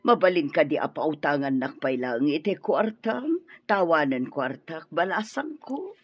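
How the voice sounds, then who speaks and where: An actress playing a gossipy, money-minded middle-aged mother in a radio drama, exclamatory and husky. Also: deep voice, sing-song, unhurried, no room sound, faint music behind, full character voice. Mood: pleading, hurt. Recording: dull lo-fi radio recording.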